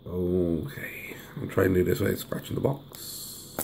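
Hoarse, gravelly low-voiced man. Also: expressive, a little slow, a little mellow, whispered. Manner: chatty, hums while unwrapping. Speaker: deck reviewer. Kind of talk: casual unboxing review. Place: indoors at a table. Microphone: camera over the table filming hands.

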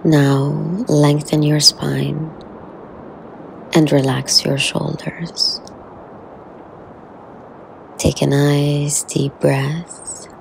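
A woman guides a meditation in a soothing, very slow delivery. Her voice is flat in pitch and heavy with vocal fry, softening into breath as phrases end. She leaves long, unhurried pauses. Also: slight background noise, rough recording.

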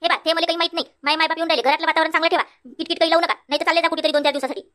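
A woman voicing a sharp-tongued, bossy village wife in a comedy cartoon, scolding in rural Marathi of Vidarbha. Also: extremely high pitch, fairly even intonation, racing. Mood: irritated, scolding. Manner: scolding, nagging.

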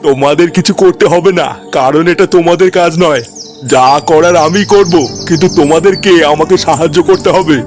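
A man voicing a solemn, authoritative village priest in a comic cartoon, speaking gravely and with assurance. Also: fairly high pitch, a little fast, natural voice. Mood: commanding. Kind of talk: comic cartoon dialogue in colloquial Bengali. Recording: music playing behind the voice.

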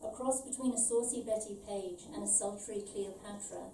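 A middle-aged woman reads a short story aloud at a measured, deliberate pace of delivery. Her tone is very dark and mellow. She is heard a little way off in an echoey room with slight noise. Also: mid-range voice. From born in Ireland, raised in London. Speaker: author reading her own short story. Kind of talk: scripted literary reading aloud. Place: small event venue with stage, indoors. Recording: roomy, distant, faint background noise.

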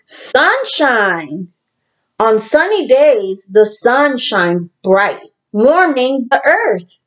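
A woman teacher reading a picture book aloud to young children in a deliberate, animated storytelling manner, with asides to her listeners. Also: high-pitched, highs cut off.

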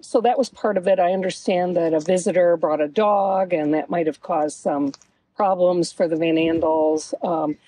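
A middle-aged woman answering as an interview guest outdoors, explaining things in a measured, conversational way. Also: medium pitch, mellow, slightly rough, rough recording. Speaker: interview guest, local author. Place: outdoors at a beach picnic table. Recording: outdoor recording with faint background noise.

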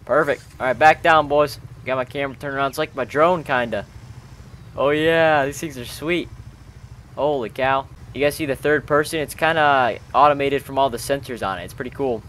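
A man's voice: medium pitch, normal speed, a bit bright, a little hiss or hum. A man hosting a gaming video, chatting in informal slang as he roleplays and comments on the game with friends. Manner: chatty, informal, peppered with bro-style slang.

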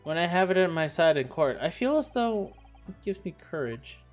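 A man voicing an earnest young male defense lawyer from a visual novel game, read in a quiet, sincere way over game music. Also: fairly high pitch, sing-song, moderate pace.

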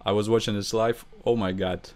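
A young man with a Russian accent, a gaming channel host commenting over his gameplay in halting English, with grammar slips. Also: on the low side, fairly even intonation, darkish tone. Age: young adult.

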